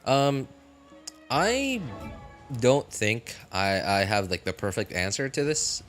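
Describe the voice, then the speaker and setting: A man talking casually on a live game stream in a rambling, halting way, often correcting himself. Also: medium pitch, wildly swooping pitch, a bit bright, soft background music.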